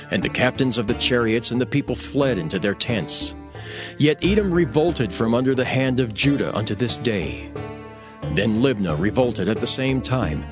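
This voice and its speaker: A man narrating scripture for a dramatized audio production in a measured, declamatory manner. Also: mid-range voice.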